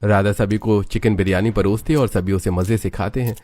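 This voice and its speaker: A man's voice: lowish voice, steady tone, brisk, a little mellow. A man narrating a scripted cartoon story as its storyteller.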